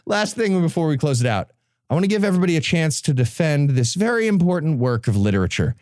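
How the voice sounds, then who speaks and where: A man's voice: on the high side, sing-song, fast talker, darkish tone, no room sound, studio quality. A man hosting a comedy podcast, giving a casual, sarcastic and irreverent book recap. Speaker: podcast host presenting a book summary.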